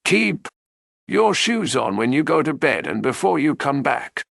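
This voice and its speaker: A man plays a fatherly storybook king who lays out his schemes firmly and deliberately. His pitch moves up and down a great deal, over a gravelly, creaking base. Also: very animated, fairly bright tone, no echo, studio quality, exaggerated voice. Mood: scheming, firm. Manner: deliberate, instructive. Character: scheming fatherly king giving firm advice. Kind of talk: storybook character voice.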